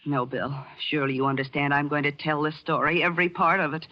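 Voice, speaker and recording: A woman's voice: deep voice, little pitch movement, fast, lightly acted. An actress playing a brave, indignant young woman in a 1940s radio thriller, speaking in a dramatic, earnest, accusing way. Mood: defiant. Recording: muffled old-time radio recording.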